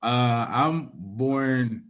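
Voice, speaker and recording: A man's voice: mid-range voice, very slow, fairly bright tone, dull audio, a little hiss or hum. A young man co-hosting a casual live stream, easygoing and a little hesitant, often restarting his sentences. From Houston, Texas. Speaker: co-host.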